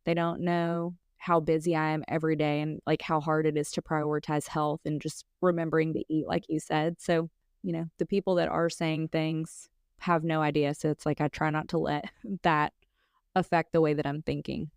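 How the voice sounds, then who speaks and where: Thirties woman co-host, frank and chatty, low-ish smooth tone, close and dry. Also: level tone, moderate pace, no echo. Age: 30s. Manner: candid, filler-heavy, laughs while talking. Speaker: podcast co-host. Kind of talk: casual chat.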